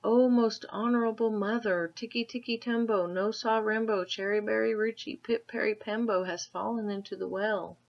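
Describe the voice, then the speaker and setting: A woman's voice: fairly even intonation, normal speed, creaky, hardly any pauses, highs cut off, lightly acted. A woman reading aloud and voicing a small, breathless boy who pleads urgently. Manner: high, pleading, panting.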